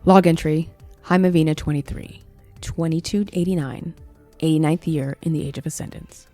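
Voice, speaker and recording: A woman's voice: low-pitched, fairly even intonation, moderate pace, warm tone, no echo, natural voice. A woman playing a wry, confident space company executive in her fifties, chatting in an audio drama log entry, with music underneath. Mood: formal. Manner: chatty, wry, dryly teasing.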